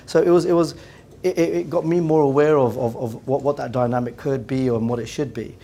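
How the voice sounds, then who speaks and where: A man's voice: medium pitch, fairly even intonation, brisk, dark tone. A man with an English accent speaking on a panel, hesitant, often repeating words as he goes. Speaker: round table panelist. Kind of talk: panel discussion.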